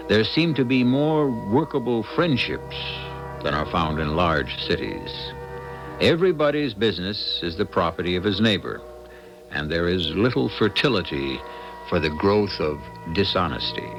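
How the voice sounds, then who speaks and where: A man hosting a radio drama with scripted narration, measured, formal and portentous. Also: sits low, full character voice, low-quality recording. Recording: music under the voice.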